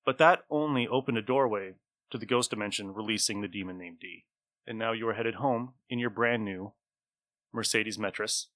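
A man's voice: lowish voice, moderate pace, a bit bright, no room sound. A man hosting as game master, narrating a tabletop adventure and chatting casually with the players.

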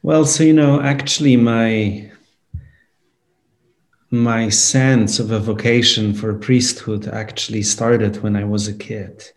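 A man's voice: lowish voice, fairly even intonation, slow pace. A man in his forties from Poland, a priest answering interview questions in an earnest, thoughtful way. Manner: earnest, thoughtful, with many "you know"s. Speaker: priest and guest.

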